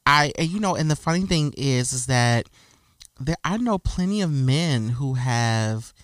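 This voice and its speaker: A man of middling pitch talks frankly and with emphasis, often stopping to restart a sentence as he makes his point. His voice sounds close and dry, with no room around it. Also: lively intonation, a little slow, a bit bright, dry recording. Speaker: podcast guest. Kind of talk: casual discussion.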